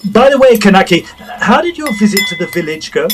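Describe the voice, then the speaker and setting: An actor playing an earnest gentleman ghost hunter who narrates a period drama in a husky, formal, dramatic storytelling manner. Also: high-pitched, big pitch swings, fast, noisy background. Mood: genial.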